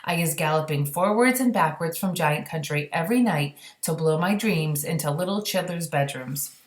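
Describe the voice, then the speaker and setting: Woman voicing a gentle storybook giant, roomy echo. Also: deep voice, close to an everyday voice. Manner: unhurried, folksy, muddled words. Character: friendly old giant, calm, simple, mangled grammar. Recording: roomy, echoey sound.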